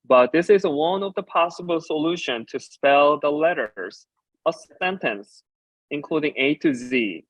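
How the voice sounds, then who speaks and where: Halting male speaker, English not native. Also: a little high, vocal fry, no echo, highs cut off. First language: Korean. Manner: halting, with frequent filler pauses while explaining. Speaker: designer and ceramicist giving a talk. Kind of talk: conference presentation about slides.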